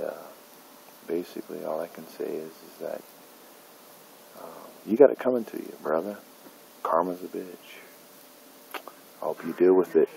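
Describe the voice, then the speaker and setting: Middle-aged man, very low voice, bitter profane rant to his phone camera, faint hiss. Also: creaky, darkish tone, low-quality recording. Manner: bitter, profane, repeating himself with many fillers. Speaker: independent filmmaker. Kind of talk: angry personal vlog rant. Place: dim room at night, indoors. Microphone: phone camera held close.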